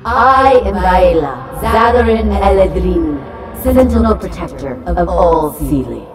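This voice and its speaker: A regal, centuries-old nymph sentinel in a fantasy audio drama. Her low voice moves slowly and formally but swings widely in pitch, with an imperious bearing. Music plays behind her. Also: expressive, far from the mic. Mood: imperious, threatening.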